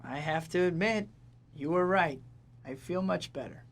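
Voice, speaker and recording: A man's voice: fairly high pitch, unhurried. An actor voicing a wisecracking young male superhero in a video game, with a dry, quippy delivery. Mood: wry.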